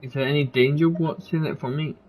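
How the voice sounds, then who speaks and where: A game streamer reading dialogue aloud and commenting in a halting, offhand, casual way. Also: medium pitch, fairly even intonation, a little mellow.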